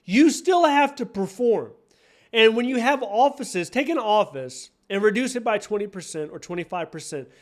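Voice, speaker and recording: High-voiced American man giving emphatic commentary. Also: animated, moderate pace. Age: 40s. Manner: emphatic, repeats key phrases for effect. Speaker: online career coach and commentator. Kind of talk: direct-to-camera opinion commentary.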